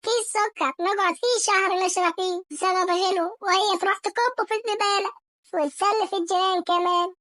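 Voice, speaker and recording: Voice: extremely high pitch, steady tone, brisk, pushed and bright, dry recording, clean studio sound. A performer voicing an angry, whiny, penny-pinching cartoon husband with an Egyptian accent, scolding and wailing in a comedy sketch. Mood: wailing outrage.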